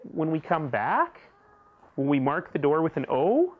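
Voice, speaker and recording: A man's voice: fairly high pitch, wildly swooping pitch, unhurried, phone-quality sound, heavily acted. A middle-aged man voicing a curious, worried little boy from a children's storybook, with an eager questioning lilt.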